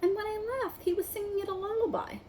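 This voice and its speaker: A woman's voice: very high-pitched, fairly even intonation, quick pace, darkish tone, slight background noise, voice pushed up. A woman reading a storybook as an exasperated schoolboy, indignant and grumbling. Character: Ron, exasperated, complaining schoolboy.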